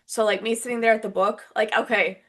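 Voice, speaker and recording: A woman's voice: on the high side, level tone, fairly quick. A woman co-hosting a casual podcast, rambling chattily with many fillers. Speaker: podcast co-host.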